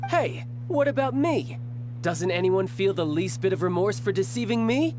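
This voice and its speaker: A man's voice: high-pitched, lively intonation, normal speed, noisy background. A man playing a brash, theatrical young man in a video game, exclamatory and indignant. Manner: exclamatory, aggrieved. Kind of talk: video game cutscene acting.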